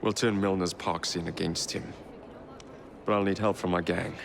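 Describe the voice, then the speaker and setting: An actor playing a cocky, roguish young gang leader in a game, with a cheeky, casual way of talking. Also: male voice, low-pitched, steady tone, moderate pace, creaky, noisy background. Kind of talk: game cutscene dialogue.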